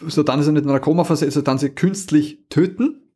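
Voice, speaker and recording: A man's voice: fairly high pitch, a little fast, mellow, husky. A man, co-hosting a podcast, chatting casually and restarting his sentences while he searches for names. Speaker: podcast co-host. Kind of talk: casual chat.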